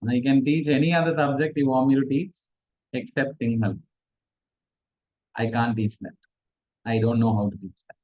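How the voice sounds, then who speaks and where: A man's voice: medium pitch, fairly even intonation, moderate pace, long pauses, darkish tone, highs cut off. A man, a Tamil speaker, giving a casual online revision class, chatty and self-mocking. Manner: chatty, mixes in Sinhala, laughs at himself. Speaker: tutor. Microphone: video call.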